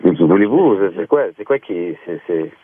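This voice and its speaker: Québécois guy, 30s, defensive, deep and lively voice, phone-quality sound. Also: measured pace, slightly rough, no room sound. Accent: Québécois French. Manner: confused, defensive. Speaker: prank call target. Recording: tinny phone line.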